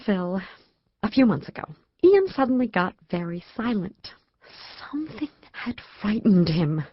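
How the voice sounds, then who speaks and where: Worried physicist wife in a radio play, husky voice, really animated, halting and earnest. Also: female voice, mid-range voice, no room sound, dull audio. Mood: shaken, upset. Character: distraught astrophysicist wife, anxious, educated, drinking, worried for husband. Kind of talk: audio drama acting.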